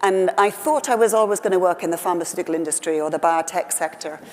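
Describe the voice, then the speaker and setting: Lady, middle-aged, thoughtful, kinda low voice, big echoey conference hall. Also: fast, a little mellow. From West Wales. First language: Welsh. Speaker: award honoree. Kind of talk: on-stage conversation.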